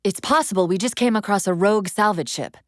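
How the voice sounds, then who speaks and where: A woman voicing an urgent, clever military AI companion in a video game, wry and earnest, giving crisp tactical briefings with an undercurrent of strain. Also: mid-range voice, fast talker, no room sound, clean studio sound, natural voice. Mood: focused briefing.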